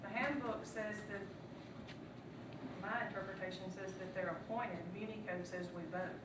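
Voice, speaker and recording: A woman's voice: mid-range voice, steady tone, normal speed. Woman questioning at a council meeting. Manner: probing, persistent questions. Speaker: city council member. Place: city council chamber. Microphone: desk microphone, far camera. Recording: echoey room, distant mic, background hum.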